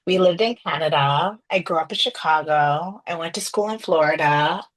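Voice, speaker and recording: A woman from Trinidad speaks in a fairly low voice, reflective and unhurried as she tells her own story. She builds her answers in layers and often leans on "you know". She is talking to a host over a remote call. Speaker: podcast guest.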